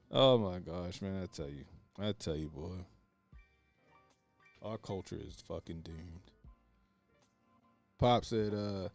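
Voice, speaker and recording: Crude, loud-swinging man reacting on stream. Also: on the low side, no echo. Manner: crude, laughing, swings from mumbled asides to loud outbursts. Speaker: stream host. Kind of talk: casual reaction commentary.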